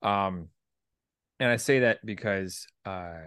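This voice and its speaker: A man's voice: lowish voice, slow pace, a bit bright, smooth, no room sound, studio quality. A man hosting a podcast in casual chat, rambling hesitantly with a self-deprecating streak. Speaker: podcast host.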